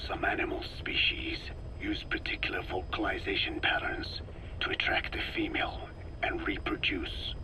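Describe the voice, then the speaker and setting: An actor playing a frail, learned old Navajo scientist in a video game, lecturing gravely in slow, measured phrases with a breathy rasp. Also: male voice, sits low, low-quality recording. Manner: slow, solemn, measured, deliberate. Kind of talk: dramatic game monologue, lecturing.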